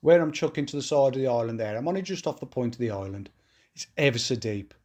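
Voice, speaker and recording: A man's voice: medium pitch, expressive, fast talker, a little mellow. A man with a blocked-up nose from a cold, explaining his fishing tactics step by step in a casual, matter-of-fact way, outdoors at a fishing lake. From England. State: congested from a cold. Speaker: match angler vlogging his match.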